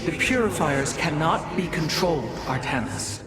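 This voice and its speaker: A grave, proud alien seeress in a video game. She speaks in formal, measured phrases like an elder keeper of tradition, with loud music behind her. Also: low-pitched, lively intonation. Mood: disapproving. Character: solemn, ancient female alien seer, proud and traditionalist. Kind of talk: video game cutscene acting.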